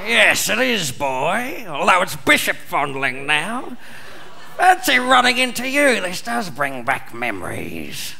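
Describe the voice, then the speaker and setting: A camp, lecherous old bishop in a live comic radio play. The voice is high for a man and piercingly bright, with a slow theatrical drawl and a gravelly edge. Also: wildly swooping pitch, full character voice. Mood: oily, delighted.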